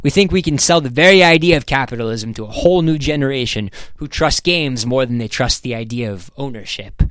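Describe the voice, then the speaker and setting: A composed female tech pitchwoman read by a male narrator in a storybook. The delivery is measured, polite and reserved. The sound is dry, with hardly any room in it. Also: a bit bright, no room sound, lightly acted. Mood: confident.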